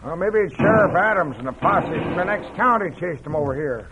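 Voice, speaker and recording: A high-voiced folksy Western sheriff. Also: male voice, lively intonation, a little fast. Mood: pondering. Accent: American Western. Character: folksy, puzzled Old West sheriff on a posse. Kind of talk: old-time radio western acting. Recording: muffled, noisy old radio recording.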